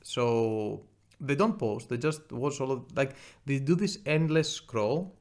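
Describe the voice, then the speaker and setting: A man's voice: mid-range voice, slow, darkish tone. A man co-hosting a podcast in rambling casual chat, laughing and cracking crude jokes. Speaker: podcast co-host.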